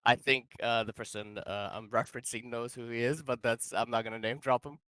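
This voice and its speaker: Bright, crisp young man making a joking pitch. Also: fairly quick, no room sound. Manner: deadpan and mock-formal, with many um and uh. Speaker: team captain.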